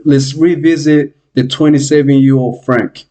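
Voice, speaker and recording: Laid back guy hosting a podcast, soft warm tone, kinda stumbles and repeats stuff while asking. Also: medium pitch, unhurried. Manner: relaxed, repeats words as he searches for a question. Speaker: podcast host. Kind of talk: casual interview questions.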